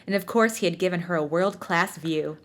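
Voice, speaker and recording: A woman's voice: on the low side, fairly quick. Woman podcast host reading fiction with playful theatrics. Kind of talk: comedic read-aloud with casual banter.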